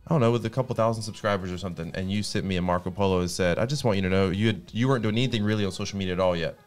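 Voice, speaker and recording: American dude guest, low-ish voice, talks fast, keeps restarting, faint music under. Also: male voice. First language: English. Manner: rapid, earnest, restarts often. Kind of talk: casual chat.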